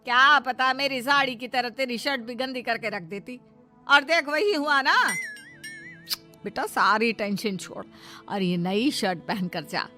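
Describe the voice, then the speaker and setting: A woman's voice: a little high, measured pace, pushed and bright, soft background music. A woman voicing a nagging, suspicious older mother-in-law in a cartoon moral story, with a scolding, complaining manner. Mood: doting, smug.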